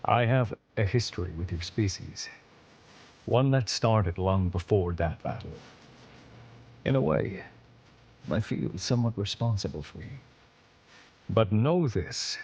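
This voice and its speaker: An actor playing a stern, honorable veteran alien admiral in a video game, speaking in a formal, measured, authoritative way. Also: male voice, lowish voice, a little mellow, slightly rough, exaggerated voice. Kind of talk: video game cutscene acting.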